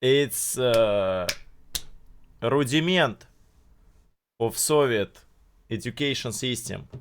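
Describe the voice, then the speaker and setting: A man streaming and reacting to videos, speaking halting non-native English with many pauses and fillers. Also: medium pitch, animated, slight background noise. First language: not English. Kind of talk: casual live-stream commentary.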